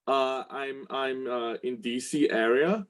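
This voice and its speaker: A man's voice: mid-range voice, steady tone, slow. A man from Malaysia speaking casually and hesitantly in a friendly way on an online group call. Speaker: IT business volunteer. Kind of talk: casual self-introduction.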